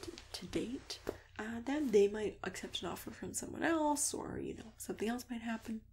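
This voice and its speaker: A woman speaking softly and breathily, often near a whisper, in a gentle, reassuring ASMR roleplay as a friendly matchmaker. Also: medium pitch, gravelly, slight background noise. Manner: gentle, reassuring, unhurried customer-service patter.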